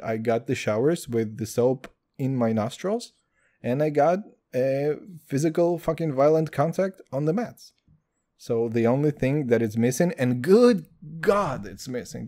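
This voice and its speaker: Crude, excitable man ranting. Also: medium pitch, measured pace. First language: Hebrew. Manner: crude, loud swings, calls listeners dude. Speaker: podcast host. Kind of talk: comedic solo podcast rant.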